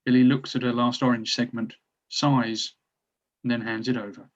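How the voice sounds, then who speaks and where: A man's voice: medium pitch, level tone, moderate pace, lo-fi sound. A man narrating stage directions from a script at a drama table read.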